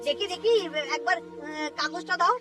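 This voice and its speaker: A scheming male court minister in a cartoon. The voice is very high and animated, eager and argumentative in manner. It sounds a little off the mic, with music behind it. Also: normal speed, recorded from a distance. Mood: eager, officious. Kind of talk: cartoon voice acting.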